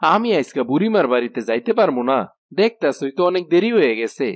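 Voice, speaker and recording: A man's voice: on the high side, big pitch swings, fast, dry recording, clean studio sound. A man voicing an easygoing village husband in a fairy-tale cartoon, with a colloquial Bangladeshi accent and rustic, casual talk. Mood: matter-of-fact.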